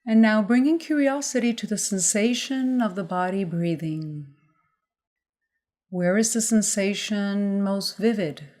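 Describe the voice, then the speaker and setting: A woman leading a guided body scan meditation as a mindfulness guide, giving gentle instructions in measured phrases with pauses. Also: mid-range voice.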